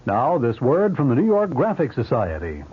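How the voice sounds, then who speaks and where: A man's voice: medium pitch, huge pitch swings, moderate pace. An American man reading 1970s radio station announcements. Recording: tinny old radio, loud noise.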